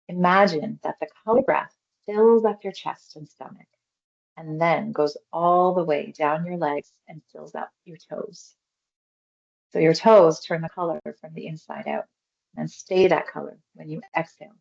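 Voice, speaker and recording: Casting: woman, low creaky voice, meditation guide, gentle and reassuring, unhurried phrasing, slightly muffled recording. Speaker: mindfulness exercise guide. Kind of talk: guided meditation, body scan instruction.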